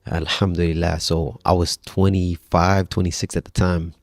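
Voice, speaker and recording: Male guest, 30s: very low, gravelly voice, dry close sound, relaxed storyteller. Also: normal speed, dry recording. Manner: relaxed, conversational, frequent restarts and religious phrases. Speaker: podcast guest. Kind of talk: personal storytelling in casual conversation.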